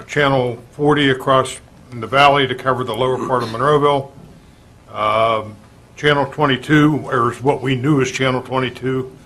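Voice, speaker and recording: A man with an average-pitched voice reports information as a local official at an indoor public meeting. He speaks in short phrases, halting often between them. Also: a bit bright.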